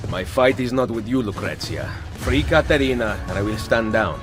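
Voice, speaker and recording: A man's voice: lowish voice, animated, normal speed, over music, lightly acted. A man playing a resolute, composed assassin leader with an Italian accent in a video game. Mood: calm, dry. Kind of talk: video game cutscene acting.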